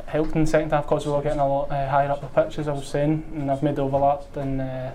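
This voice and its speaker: A man's voice: fairly high pitch, flat delivery, fast talker, dark tone, slight background noise, poor audio. A young Scottish man, a footballer, answering post-match interview questions in a modest, hesitant way with many fillers, indoors in front of a sponsor board.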